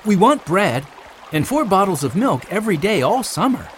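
A woman's voice: mid-range voice, sing-song, normal speed, a little hiss or hum, voice pushed up, lightly acted. A woman voicing an earnest, responsible older boy in a children's story. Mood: practical. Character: helpful, responsible older boy, big brother.

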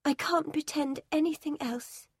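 Woman voicing a quiet, weary young girl. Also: high voice, steady tone, fairly quick, no room sound, clean studio sound, voice pushed up.

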